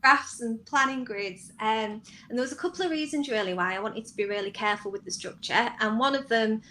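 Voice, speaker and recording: A woman's voice: on the high side, fairly quick, pushed and bright, a little hiss or hum. A woman answering interview questions as a guest writer, talking conversationally about her own work.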